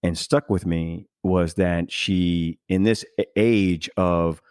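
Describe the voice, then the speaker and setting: A man's voice: deep voice, fairly even intonation, slow pace, darkish tone, clean tone, no echo, studio quality. A man hosting a podcast in casual, reflective conversation, speaking earnestly and emphatically as he searches for his words. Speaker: podcast host.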